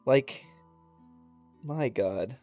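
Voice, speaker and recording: A man's voice: medium pitch, animated, very slow, dark tone, muffled recording. A man doing casual gameplay reaction commentary as a streamer, blunt, slangy and sweary. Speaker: gaming streamer. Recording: faint game music behind the voice.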